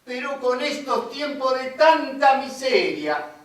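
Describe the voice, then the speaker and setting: A boastful, wisecracking radio contestant, played by a man. His voice is very high and unhurried, and it rings out from far off on an echoey stage. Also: very animated, a bit bright, full character voice. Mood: joking. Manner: wisecracking storyteller, punchy delivery. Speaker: stage actor in a radio-play scene. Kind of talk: comic stage acting as a 1950s radio performer. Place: theatre stage.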